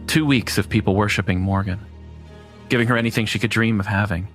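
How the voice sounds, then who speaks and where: A man's voice: fairly low pitch, steady tone, fast talker, fairly bright tone, soft background music. A man narrating a scripted first-person horror story.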